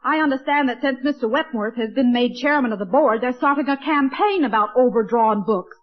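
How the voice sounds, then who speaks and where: A woman's voice: a little high, a little fast, natural voice. An actress playing a kindly but fussy, politely insistent neighbor lady in an old-time radio sitcom. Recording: dull vintage radio recording.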